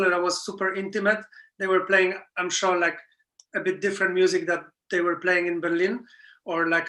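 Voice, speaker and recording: A man with a Polish accent, a DJ guest, talking in halting, searching English in a casual interview. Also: high-pitched, pushed and bright, rough recording. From Poland.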